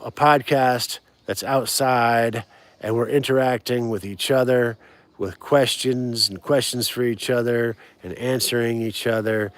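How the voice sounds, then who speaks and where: A man chats loosely to a live audience from outside, circling back and repeating himself as he goes. His voice sits in an ordinary middle pitch. He laughs easily between thoughts. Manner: rambling, repeats words, laughs often. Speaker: live-stream host. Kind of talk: casual outdoor live-stream chat. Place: outdoors.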